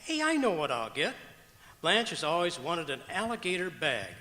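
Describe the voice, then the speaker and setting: A man's voice: a little high, sing-song, normal speed, pushed and bright. A man playing an eager, dim-witted, self-pleased neighbor in an old-time radio comedy performed on stage. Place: performing arts center auditorium, live stage. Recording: echoey auditorium stage hall.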